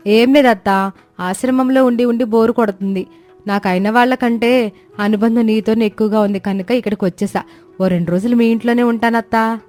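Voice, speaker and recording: Woman playing a gentle, earnest young sweetheart. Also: fairly high pitch, steady tone, fairly quick, soft background music, natural voice. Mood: sweet, eager. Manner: earnest, pleading. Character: gentle, devoted young orphan woman in love.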